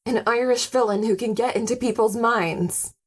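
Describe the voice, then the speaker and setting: A woman's voice: a little high, fairly even intonation, normal speed, slightly rough. A woman playing a frank, competitive superheroine wife with a direct, teasing manner in an audio drama. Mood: firm, weary. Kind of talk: natural audio drama acting.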